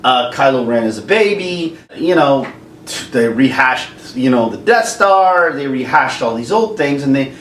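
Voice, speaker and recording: A man hosting a casual rant to camera, jokey and animated, now and then slipping into silly singing. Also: mid-range voice, unhurried. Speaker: YouTube host. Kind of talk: ranting commentary to camera. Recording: echoey room, mic at a distance, faint background noise.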